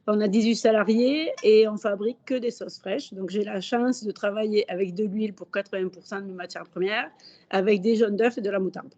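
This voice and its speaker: A woman managing director giving a webinar testimony in a hoarse, matter-of-fact way. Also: medium pitch, steady tone, brisk, mellow. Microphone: video call. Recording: dull lo-fi video call audio.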